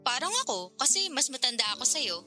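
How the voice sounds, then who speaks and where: A woman's voice: high voice, big pitch swings, quick pace, pushed and bright, soft background music, exaggerated voice. An actress playing a curious, teasing, frank older girlfriend figure in a radio drama, talking in a playful, probing, casual way.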